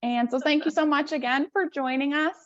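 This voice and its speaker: A woman's voice: high voice, brisk, dry recording. Woman, webinar host, prepared introduction, dry close sound, slightly lo-fi video call. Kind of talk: prepared introduction, partly read aloud.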